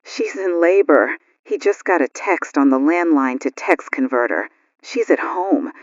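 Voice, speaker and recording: Woman playing worried lady radio host in a blackout drama, very animated pitch, kinda lo-fi dry booth sound. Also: measured pace, no room sound. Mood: distressed. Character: anxious female radio news co-host during a blackout. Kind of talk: radio news drama acting.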